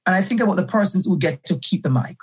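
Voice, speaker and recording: A man's voice: sits high, lively intonation, quick pace, slightly rough. A middle-aged man, a guest education professor, talking passionately in a podcast interview and repeating his key phrases for emphasis.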